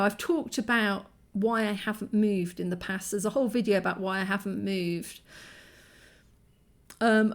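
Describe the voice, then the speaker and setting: A woman vlogging to camera, exhausted from lack of sleep, her voice croaky and strained as she rambles in frustration with many ums and restarts. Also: mid-range voice. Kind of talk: candid talking-head vlog.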